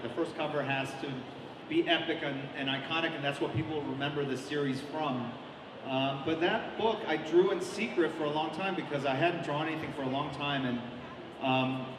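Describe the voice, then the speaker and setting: Man speaking from far off in an echoey hall. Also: a little high. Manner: rambling, many ums and restarts. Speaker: comic artist guest. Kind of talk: convention interview answers. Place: large convention hall. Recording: echoey hall, mic at a distance, crowd noise.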